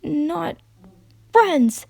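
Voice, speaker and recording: A woman voicing a frightened, confused pony mare who speaks in halting, muttered fragments. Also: high voice, animated, vocal fry, darkish tone, dry recording, pitched above the performer's own voice, full character voice. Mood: withdrawn, sad.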